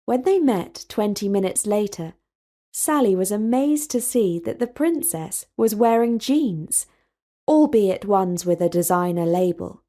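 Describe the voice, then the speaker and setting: A woman reading a scripted story as its narrator, in a measured, expressive way. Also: medium pitch.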